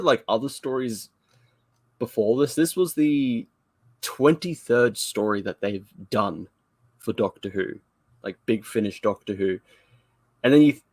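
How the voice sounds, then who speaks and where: Man, show co-host, lowish pitch, dry recording, casual review talk, loose informal phrasing. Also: a little mellow, dry recording.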